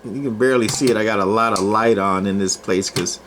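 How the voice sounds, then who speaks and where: An older man giving a casual, unscripted equipment demo in a rambling, folksy, halting way with long pauses. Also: lowish voice, fairly bright tone, a little hiss or hum. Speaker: presenter, CB radio collector.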